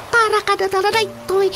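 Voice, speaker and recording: A woman's voice: very high voice, heavy background noise. A woman with an Ilocano accent playing a mocking, teasing female tormentor who giggles constantly in a radio drama comedy. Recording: music behind the voice.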